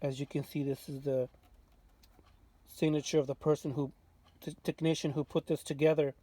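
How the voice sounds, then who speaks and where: A man presenting a casual hands-on walkthrough as a radio restorer, informal and halting as he thinks aloud. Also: on the high side, little pitch movement, warm tone, no room sound.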